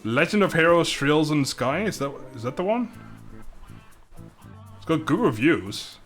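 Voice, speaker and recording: A man's voice: a little high, sing-song, measured pace, a bit bright, faint music behind. A young man from the Netherlands with a Dutch accent, chatting casually on a live stream, laughing often and stumbling over his words.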